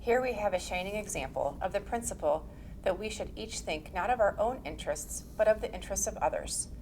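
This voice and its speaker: A woman giving a measured, formal scripted reading of a historical religious text. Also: medium pitch, lively intonation, darkish tone, slight background noise. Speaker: reader.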